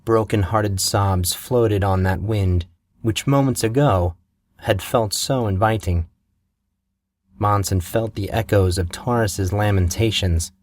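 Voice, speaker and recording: A man narrating a fantasy novel in a measured, steady storytelling manner, recorded on a close mic. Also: on the low side, dry recording.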